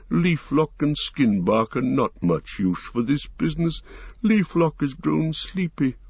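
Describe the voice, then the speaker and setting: A man voicing an ancient, slow-spoken male tree giant, unhurried and musing, with a gravelly, husky voice. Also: medium pitch, no echo, dull audio, full character voice.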